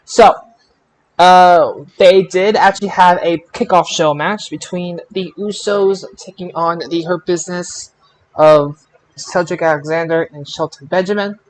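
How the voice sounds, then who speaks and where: A man hosting a casual panel podcast about wrestling results, speaking in an emphatic, excitable way. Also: sits high, measured pace, rough recording. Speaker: podcast host.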